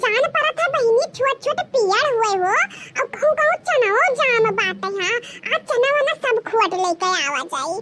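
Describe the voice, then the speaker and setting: A woman voicing giggly, gossiping village women in a comedy cartoon, with an Awadhi accent, a squeaky childlike voice and a rustic, chatty manner. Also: animated, moderate pace, hardly any pauses, over a noisy crowd. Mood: playful. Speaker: cartoon voice performer.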